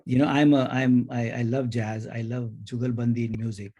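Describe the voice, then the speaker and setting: A man's voice: mid-range voice, little pitch movement, moderate pace, mellow, lo-fi sound. A man with an Indian accent hosting a conversational podcast interview, speaking in an effusive, reflective way. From India (grew up in Mumbai).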